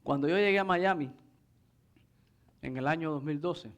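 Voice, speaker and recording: A man's voice: fairly high pitch, moderate pace. A man preaching a sermon in a didactic manner, explaining scripture and putting rhetorical questions to his listeners. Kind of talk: sermon with scripture exposition and anecdotes.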